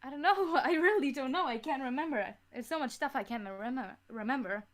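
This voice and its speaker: High-voiced, hesitant young woman. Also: a little fast. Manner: hesitant, rambling. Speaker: podcast guest, creator of an audio drama. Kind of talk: casual interview chat.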